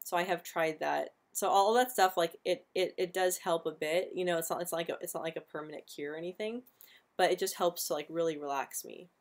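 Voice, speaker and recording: Young woman host, low-pitched, stutters on sounds, relaxed live chat. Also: a little fast. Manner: stutters often, repeating sounds and words. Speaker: livestream host answering viewer questions. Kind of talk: casual live stream chat.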